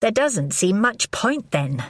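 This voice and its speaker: A woman reading the part of a brisk, biting woman confronting her lover in an audiobook. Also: medium pitch, sing-song, no room sound, studio quality, voice pushed up. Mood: tense. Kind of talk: audiobook character voice.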